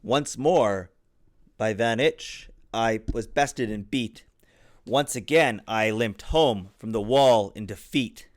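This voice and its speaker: A man with a medium-pitched voice reads a children's story aloud. His phrasing is expressive and theatrical, riding the rhymes. The sound is dry, picked up on a desktop microphone. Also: no room sound. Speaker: father reading a children's book aloud. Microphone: USB desktop microphone.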